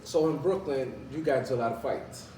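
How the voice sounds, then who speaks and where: Mellow-toned male co-host. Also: mid-range voice, fairly quick. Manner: asks short follow-up questions. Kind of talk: casual interview chat. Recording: roomy, distant mic, faint noise.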